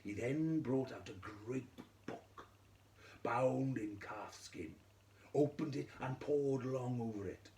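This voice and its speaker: A man telling a children's fairy tale in a theatrical way, moving between hushed and emphatic delivery. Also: medium pitch, slow, roomy sound. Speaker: storyteller.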